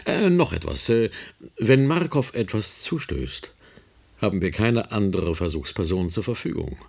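An eager radio play scientist reporting to a superior, husky and fairly low. The delivery is formal and deferential, with lively swoops and hesitant little interjections. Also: male voice, unhurried, exaggerated voice. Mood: eager, insistent. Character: eager, deferential male research scientist reporting to his chairman. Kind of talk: radio drama dialogue, one side of a conversation.

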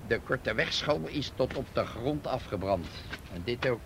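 Radio play detective, male actor: grumpy, scornful, sardonic man of medium pitch, faint noise and street ambience behind him. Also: lively intonation, moderate pace, lightly acted. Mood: disgusted. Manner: gruff, scornful, sardonic, swearing. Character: grumpy, sarcastic male police detective at an arson scene. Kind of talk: naturalistic Dutch radio play acting.

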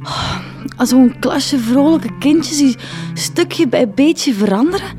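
Woman acting tired sad schoolteacher, higher pitch, hesitant sighs, radio drama, music underneath. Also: animated, takes it slowly, darkish tone. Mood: weary, sad. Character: weary, melancholy female primary schoolteacher. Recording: music behind the voice.

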